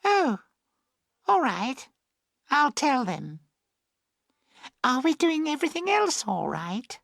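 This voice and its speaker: A man's voice: very high voice, wildly swooping pitch, takes it slowly, creaky, fairly bright tone, dry recording, clean studio sound, voice pushed up, full character voice. A man voicing silly, oblivious young women in a storybook reading. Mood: innocent, chirpy.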